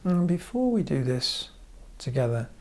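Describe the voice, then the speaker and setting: A man guiding a meditation as a therapist, giving gentle instruction in short, measured phrases with frequent pauses. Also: on the high side, very animated. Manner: measured, pausing often between short phrases. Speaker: therapist leading guided meditation.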